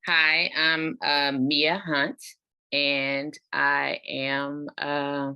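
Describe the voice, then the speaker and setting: A woman's voice: sits low, flat delivery, extremely slow, clean tone, dry recording, highs cut off. A woman taking part in a virtual table read, chatting in a colloquial, folksy way over a video call. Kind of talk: casual chat. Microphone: video call microphone.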